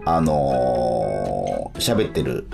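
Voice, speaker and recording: A man co-hosting a casual radio chat, animated and appreciative, often feeling his way through phrases. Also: a bass voice, drawn-out words, gravelly. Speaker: radio show co-host. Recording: music playing under the talk. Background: background music.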